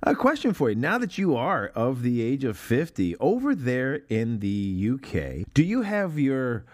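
A man's voice: medium pitch, very animated, a little slow, darkish tone. American man, podcast host, laughing easily. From United States. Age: 40s. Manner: mock-preacher intros, laughs often mid-sentence. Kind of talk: casual podcast chat.

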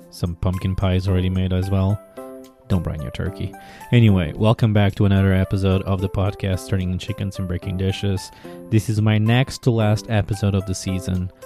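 Deep-voiced Portuguese man hosting a podcast. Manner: playful, joking asides. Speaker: podcast host. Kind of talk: podcast introduction and interview.

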